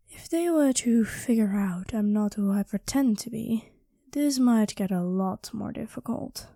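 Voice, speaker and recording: Dragon queen, ASMR roleplay female performer: coy, possessive, higher-pitched yet velvety and relaxed, slipping into intimate whispers. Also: no room sound, studio quality. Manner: teasing, coy, intimate, often dropping into whispers. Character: playful possessive dragon queen, affectionate with captive royal.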